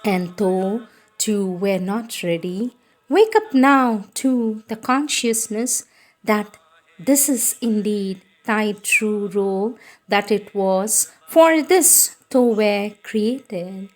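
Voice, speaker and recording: A woman reading spiritual writing aloud in a solemn, measured and formal manner. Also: medium pitch. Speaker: reader of devotional writings.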